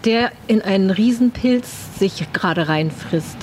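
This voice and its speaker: A woman's voice: mid-range voice, lively intonation, measured pace, a little mellow, a little hiss or hum. Female podcast co-host, mid-range voice, informal and chatty with a joking manner. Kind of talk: casual chat. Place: radio studio.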